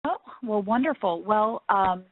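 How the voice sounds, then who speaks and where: A woman's voice: mid-range voice, lively intonation, a little slow, no room sound. A woman hosting a conference call, polite and a bit hesitant. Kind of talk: hosting, semi-scripted moderation. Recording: dry, muffled phone conference line.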